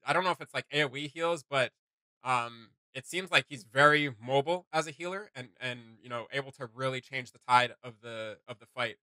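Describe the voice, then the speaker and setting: A man's voice: mid-range voice, moderate pace, bright, forceful tone, no echo. A man giving casual, chatty reaction commentary as a host, often feeling his way through a phrase. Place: small home gaming room, indoors.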